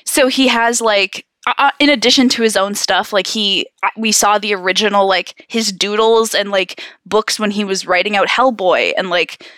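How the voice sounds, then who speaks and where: A woman's voice: medium pitch, fairly even intonation, moderate pace, hardly any pauses, fairly bright tone, no room sound. A woman chatting casually as a podcast co-host, enthusiastic, giggly and slangy. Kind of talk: casual chat.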